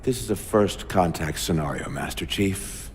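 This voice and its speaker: A gruff, deep-voiced captain. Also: male voice, expressive, normal speed, in a large hall, a little hiss or hum. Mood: commanding. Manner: gruff, authoritative. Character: gruff commanding ship captain. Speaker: ship captain.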